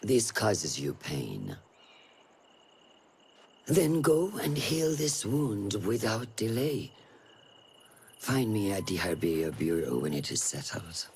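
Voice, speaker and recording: A grave, expressive assassin mentor. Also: male voice, lowish voice, slight background noise, heavily acted. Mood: firm counsel. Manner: grave, formal, deliberate. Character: stern, wise mentor of a hidden assassin order. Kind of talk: dramatic video game acting.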